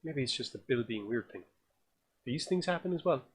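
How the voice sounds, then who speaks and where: A man's voice: medium pitch, lively intonation, fairly quick, breathy edge. A man co-hosting a casual movie review podcast, chipping in short quips and finishing his partner's sentences. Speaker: podcast co-host.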